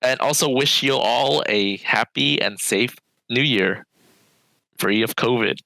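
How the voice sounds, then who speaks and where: A man's voice: medium pitch, unhurried, creaky, bright, forceful tone, no echo, low-quality recording. A man hosting a podcast, talking earnestly and laughing lightly between phrases. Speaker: podcast host. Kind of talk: casual podcast chat.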